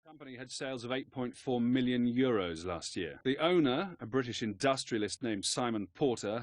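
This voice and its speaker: A man playing a businesslike criminal henchman with a measured, formal delivery in a game cutscene. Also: medium pitch, dry recording, clean studio sound, lightly acted. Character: businesslike male henchman briefing a criminal board. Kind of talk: video game cutscene acting.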